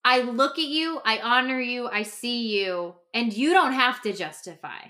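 Bright, high-voiced woman chatting on a podcast. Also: measured pace. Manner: animated, emphatic, acts out imagined quotes; informal, peppered with "like". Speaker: podcast host and coach. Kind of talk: casual conversational podcast talk.

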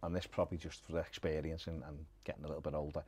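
A woman hosting a podcast interview, encouraging her guest with quick reactions and laughter. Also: extremely low pitch, level tone, a little mellow, slightly rough, no echo. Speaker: podcast host. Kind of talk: casual interview chat.

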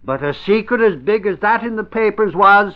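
An actor in a 1950s radio drama playing a blustery, excitable middle-aged investigator who talks in emphatic, colloquial bursts. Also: male voice, high voice, normal speed. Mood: curious. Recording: dull vintage radio recording.

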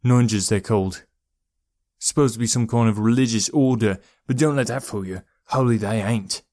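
A man's voice: fairly low pitch, normal speed, a little mellow, dry recording, studio quality, close to an everyday voice. A man voicing a mischievous, chatty young boy in an audiobook, with a teasing, breezy, wry manner. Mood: wry, boastful. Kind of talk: audiobook character voice.

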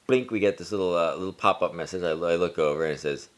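Low-voiced laid-back podcast co-host man. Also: quick pace. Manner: laid-back, profane, storytelling with restarts. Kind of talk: casual chat.

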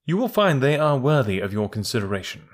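A man's voice: medium pitch, very animated, a little fast, voice pushed up. A man voicing a hard middle-aged male ex-detective turned blackmailer, who speaks dry, firm and precise. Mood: menacing.

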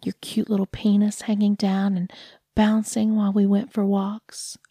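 Woman doing a syrupy pet trainer lady, half-whispered baby talk, breathy and mellow, higher and louder than the narration. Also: monotone, moderate pace, dry recording, clean studio sound. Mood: cooing. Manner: sweet-talking, patronizing baby talk. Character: sugary, condescending woman who trains human pets.

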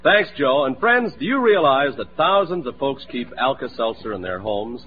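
A man's voice: mid-range voice, very animated, moderate pace, slightly rough, soft background music. A male announcer delivering a folksy, emphatic 1940s radio commercial on an old lo-fi recording.

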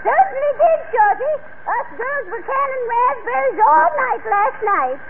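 An actress playing a spry, wisecracking old granny, folksy and rowdy, in a 1940s radio comedy. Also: female voice, very high voice, animated, unhurried, phone-quality sound. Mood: boisterous. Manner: folksy, whooping, punchy. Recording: scratchy, noisy 1940s radio recording.

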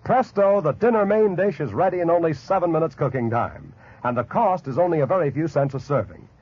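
An American man reading a 1940s radio advertisement as a commercial announcer, with a husky voice. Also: fairly high pitch, lively intonation, a little fast. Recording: dull vintage 1940s radio recording.

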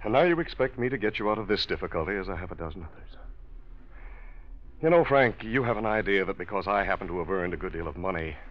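A man's voice: deep voice, animated, fast talker. An actor playing a stern older businessman uncle who lectures formally and righteously, in 1940s radio drama style. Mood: weary reproach. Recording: dull old radio recording.

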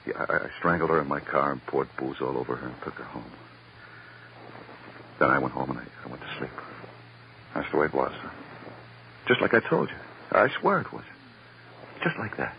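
Desperate, stammering American suspect, low-voiced. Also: male voice, very animated, gravelly, heavily acted. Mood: desperate confessing. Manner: halting, stammering, insistent. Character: desperate male murder suspect breaking down into confession. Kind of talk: 1950s radio drama acting. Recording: dull, noisy vintage radio recording.

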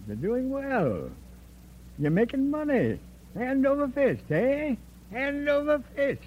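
A man's voice: very high voice, big pitch swings, exaggerated voice. An actor playing a smug, corrupt man of power in an old radio drama, insinuating and menacing, often ending on a questioning "eh?". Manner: slow, insinuating, punctuates with "eh?". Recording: muffled, noisy old radio recording with hum.

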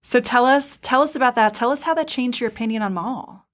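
A woman's voice: a little high, a little fast, fairly bright tone. A woman hosting a podcast, discussing and asking questions in a casual fan-chat style. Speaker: podcast host.

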